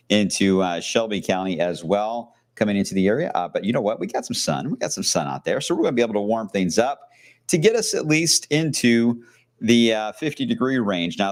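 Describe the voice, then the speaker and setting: Low-voiced chatty TV weatherman. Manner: loose, chatty delivery with fillers and jokes. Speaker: TV meteorologist. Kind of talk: live weather forecast on location. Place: outdoors at a shopping outlet.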